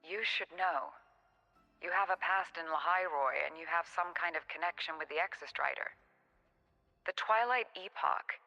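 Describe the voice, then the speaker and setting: A woman playing a composed, shrewd academy president in a video game, measured and wryly polite. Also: sits low, pushed and bright, long reverb tail, highs cut off. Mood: grave, urgent.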